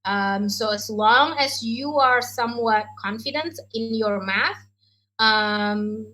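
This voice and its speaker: A woman's voice: fairly high pitch, slow pace, bright, forceful tone. An Indonesian woman, speaking English as a second language, answers questions as an education adviser in a chatty way full of ums and likes. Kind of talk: online Q&A session.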